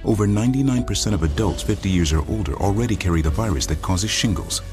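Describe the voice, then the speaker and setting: A man narrating a health commercial in a grave, measured tone. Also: fairly low pitch, lively intonation, over music.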